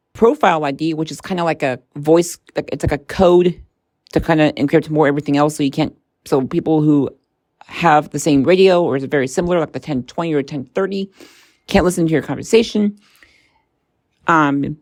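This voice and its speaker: A woman's voice: deep voice, moderate pace, a little mellow, no echo, clean studio sound. A woman presenting a casual hands-on gadget review, informal and a little tentative as she thinks aloud.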